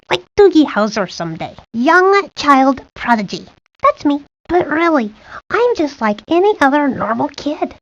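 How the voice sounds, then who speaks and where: A woman's voice: sits high, dry recording. A woman voicing a precocious, nerdy eight-year-old boy, pedantic and wordy, for a cartoon video game. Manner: pedantic, wordy, self-assured. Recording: dull lo-fi 1990s game audio.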